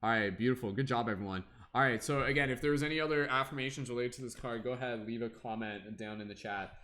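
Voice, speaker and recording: A man's voice: mid-range voice, fast, pushed and bright. A young man hosting a casual tarot livestream, rambling with many fillers and humming to himself between comments.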